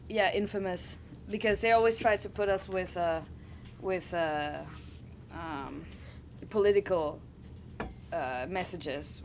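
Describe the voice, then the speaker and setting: German-native woman, lower voice, chatty. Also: noisy background. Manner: laughing, halting, restarts often. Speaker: wrestler guest. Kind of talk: casual interview chat.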